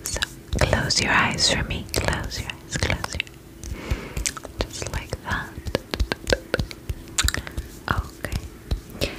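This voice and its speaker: A woman doing an ASMR roleplay as a spa attendant in a breathy whisper, soothing and repeating short reassuring phrases. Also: drawn-out words, long pauses, poor audio.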